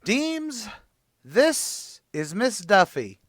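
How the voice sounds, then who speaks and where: A man's voice: sits high, sing-song, very slow, no echo, studio quality, full character voice. A man playing a brash, slangy tavern host with a New York accent in an old-time radio sitcom. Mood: exasperated. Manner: slangy, mangled grammar, eager chatter.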